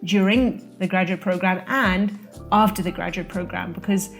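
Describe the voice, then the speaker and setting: A woman's voice: on the low side, moderate pace, soft background music. A young South African woman talking casually to camera, giving career advice from her own experience. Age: young adult. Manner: relaxed, conversational, speaks from own experience. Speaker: vlogger sharing career advice. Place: indoor room, losing daylight.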